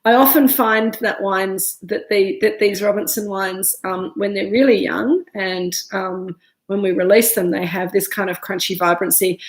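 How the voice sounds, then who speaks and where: A woman's voice: mid-range voice, fairly even intonation, normal speed, echoey room. An Australian woman in her thirties, stuffy from a head cold, chatting casually through a live wine tasting with lots of ums and restarts. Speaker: winemaker hosting a live virtual tasting. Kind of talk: casual live-stream chat, reading viewer comments. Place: winery room.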